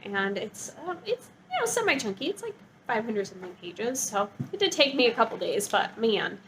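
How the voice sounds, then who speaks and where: High-voiced woman chatting casually about books. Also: sing-song, normal speed, fairly bright tone, a little hiss or hum, poor audio. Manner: bubbly, gushing, informal. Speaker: book reviewer and vlogger. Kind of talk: casual chatty book review.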